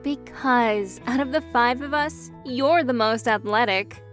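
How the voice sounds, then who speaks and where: Woman acting a bossy, high-pitched young friend. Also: a little slow, fairly bright tone, soft background music. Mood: matter-of-fact. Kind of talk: audio roleplay acting.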